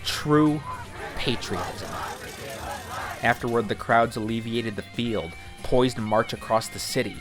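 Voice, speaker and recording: A man's voice: medium pitch, measured pace. A man reading scripted documentary-style narration in a wry, literary manner. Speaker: narrator of a protest account. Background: music, guitar.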